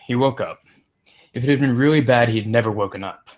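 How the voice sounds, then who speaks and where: A man at a film table read playing an anxious, placating friend with a hurried, halting way of explaining. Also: mid-range voice, muffled recording, natural voice. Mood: anxious, reassuring. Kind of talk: acted screenplay table read.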